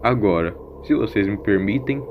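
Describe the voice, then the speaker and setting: A man's voice: on the low side, animated, normal speed, narrow, tinny audio, background noise, lightly acted. A man voicing a sarcastic, embittered and preachy wanderer in an audio story. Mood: sardonic, indignant.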